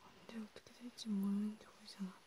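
A woman's voice: mid-range voice, little pitch movement, fast, warm tone, slight background noise, lightly acted. Woman playing a whispering cautious vampire healer. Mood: hushed concern. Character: cautious female vampire healer tending a hunted friend. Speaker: ASMR role-player. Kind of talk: whispered ASMR role play.

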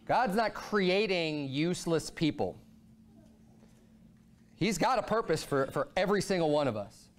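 A pastor in his thirties preaches from the pulpit in a high, bright-edged voice. He talks with fervor and swings from low, quiet asides up to ringing exclamations. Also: a little slow, long pauses. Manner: animated preaching, voice rising and falling a lot. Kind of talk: sermon. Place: church sanctuary, speaking at a pulpit.

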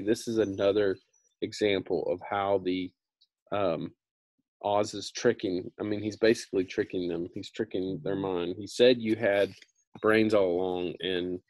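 Low-voiced man reading a storybook aloud. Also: no room sound. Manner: casual, explanatory, repeats himself. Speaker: reader of a children's book. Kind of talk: read-aloud storytelling with informal explanatory asides.

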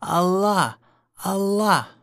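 Man doing wild mouse warriors, high loud cries. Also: expressive, extremely slow, vocal fry, no room sound, heavily acted. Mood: battle fury. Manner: crying out, declaiming.

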